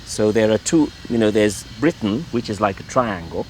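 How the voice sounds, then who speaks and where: A middle-aged man from Ireland talks in a rather low voice, stopping often and repeating himself as he searches for words. He speaks as a priest being interviewed in his own home, with faint traffic sounds behind him. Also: lively intonation, moderate pace, rough recording. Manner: hesitant, repeats words, many fillers. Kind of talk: relaxed conversational interview.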